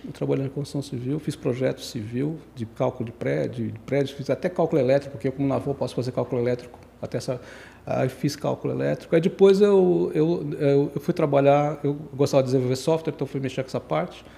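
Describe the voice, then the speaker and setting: Man in his 60s, podcast guest; quick, mellow, rambling delivery that keeps self-correcting. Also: medium pitch, vocal fry. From Brazil. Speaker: guest engineer. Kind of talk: casual podcast interview.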